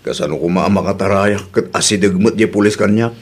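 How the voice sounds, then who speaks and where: A man's voice: sits low, brisk. A man acting an aggrieved, defensive husband in an audio drama, with a complaining manner. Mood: sullen, defensive. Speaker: drama actor.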